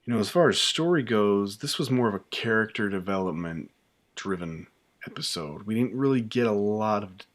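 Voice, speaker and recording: Casual male streamer, deep voice, loose chatty delivery with fillers. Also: moderate pace. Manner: relaxed, chatty, many fillers and restarts. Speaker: YouTube host reacting to a show. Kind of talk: casual live reaction chat.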